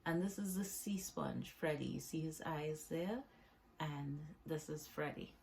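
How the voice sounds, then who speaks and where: A woman's voice: sits low, measured pace, creaky, a little mellow, slight background noise. A woman reading her own children's book aloud as a storyteller, with short asides to the listener.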